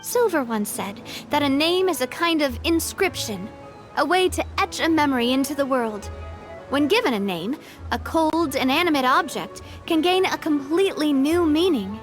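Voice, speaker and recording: A woman playing a brave, wistful young leader in a video game, speaking in an expressive, measured way. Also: high-pitched. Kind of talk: scripted game dialogue. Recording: music behind the voice. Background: game music.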